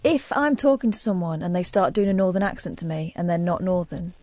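Calm, matter-of-fact female co-host. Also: fairly low pitch, brisk, no room sound. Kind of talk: casual radio chat.

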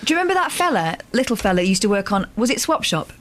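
A woman's voice: medium pitch, big pitch swings, racing, a bit bright, slight background noise. A woman co-hosting a radio show, reading news and trading dry banter, English not her first language. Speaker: radio co-host and news reader. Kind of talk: news reading and casual chat. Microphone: studio microphone.

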